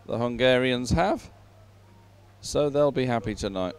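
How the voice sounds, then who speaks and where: A man giving unhurried live sports commentary, filling time between races in halting phrases with frequent pauses. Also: mid-range voice, lively intonation, clear, resonant tone.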